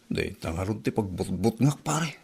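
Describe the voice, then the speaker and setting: A man's voice: low-pitched, animated, moderate pace, gravelly, a little mellow, slightly rough, heavily acted. Man playing a henpecked, whiny Ilocano husband. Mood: complaining. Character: henpecked, hard-drinking husband, sheepish and easily scared. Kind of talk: comedy drama podcast acting.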